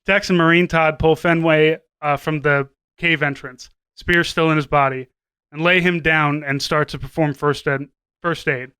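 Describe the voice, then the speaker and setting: A man's voice: fairly high pitch, fairly even intonation, moderate pace, pushed and bright, smooth, no echo. A man narrating a scripted comedy story as a podcast host, now and then stumbling as he reads.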